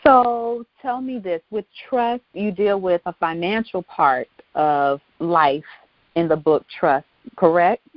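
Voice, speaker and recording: Female host chatting casually, agreeing along, lowish voice, bit muffled and no room echo. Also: slow pace. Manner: informal, affirming, conversational. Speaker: show host. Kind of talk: casual interview chat.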